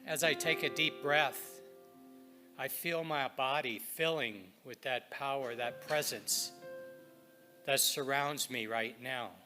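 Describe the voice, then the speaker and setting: A man leading inspirational readings and prayer at a spiritual service, speaking deliberately and reverently in short phrases with pauses. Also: mid-range voice, over music.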